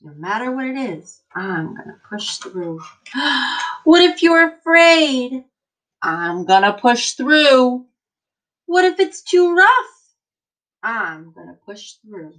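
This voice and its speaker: Slow, encouraging woman reading to children. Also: high voice, very animated, fairly bright tone, poor audio. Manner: encouraging, emphatic, addressing children directly. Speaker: teacher reading a picture book aloud to children. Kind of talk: children's read-aloud with encouraging asides.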